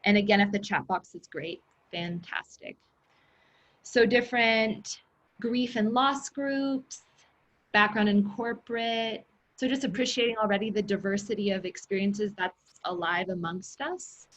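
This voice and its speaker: A woman's voice: mid-range voice, steady tone, unhurried, a bit bright. A woman hosting a group session on a video call, encouraging and affirming the participants. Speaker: session host, facilitator. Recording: muffled video-call audio.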